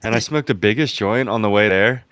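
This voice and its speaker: A man's voice: on the low side, brisk, fairly bright tone, no echo. A man co-hosting a comedy podcast, swearing and laughing through loose banter, sniffling now and then. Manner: loose, profane, laughing often. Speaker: podcast co-host.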